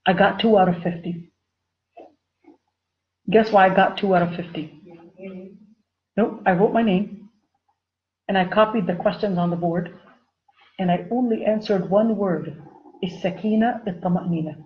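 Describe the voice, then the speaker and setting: A woman's voice: mid-range voice, measured pace, pauses often, dull audio. A woman teaching an informal online Islamic studies lesson, chatty and repeating her phrases for emphasis while addressing the viewers directly.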